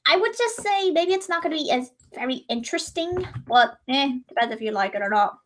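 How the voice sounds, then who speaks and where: A woman's voice: sits high, lively intonation, a little fast, a bit bright. A young woman chatting casually while drawing on a livestream, rambling and correcting herself, her voice a little strained. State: voice gone high and strained.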